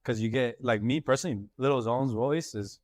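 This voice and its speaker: A man's voice: mid-range voice, moderate pace. A young man, a rapper guest, telling stories in loose casual chat full of slang. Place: studio room.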